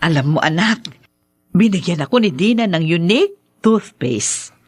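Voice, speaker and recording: A woman's voice: lowish voice, sing-song, measured pace, breathy edge, dry recording, clean studio sound, faint music behind, exaggerated voice. A woman playing a poor, caring, fretful older mother in a melodramatic radio drama. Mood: chatty, concerned. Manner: fretful, lamenting, stammers when shocked.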